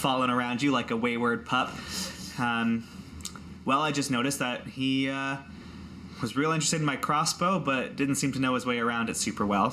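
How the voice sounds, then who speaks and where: A friendly male crewmate from a fantasy tabletop game, acted out by a man, speaks with a bright, clear-edged voice. He is earnest and folksy and rambles as he goes. There is faint noise in the recording. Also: mid-range voice, natural voice. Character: friendly, chatty, grateful male adventuring crewmate.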